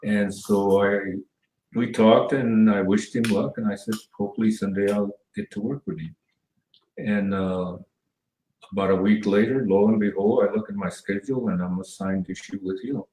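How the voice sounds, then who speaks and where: A man from Southern California telling stories as an interview guest, rambling with many pauses and restarts. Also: lowish voice, steady tone, mellow, recorded from a distance. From Southern California, near the Mexican border. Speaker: guest, former TV cameraman. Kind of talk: casual storytelling interview. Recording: echoey room, voice from off mic.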